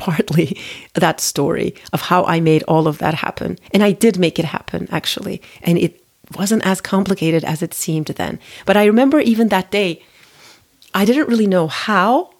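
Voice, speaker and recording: A woman in her forties from Turkey, hosting a podcast and telling her own story in a reflective, conversational way with restarts and fillers. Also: on the low side. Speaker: podcast host. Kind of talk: personal storytelling monologue.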